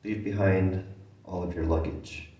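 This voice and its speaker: Guy guiding a meditation, really echoey and far from the mic, gentle, long pauses. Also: deep voice, fairly even intonation, muffled recording. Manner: gentle, unhurried, sparse phrases with long pauses. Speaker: meditation teacher. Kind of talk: guided meditation. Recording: echoey room, distant microphone.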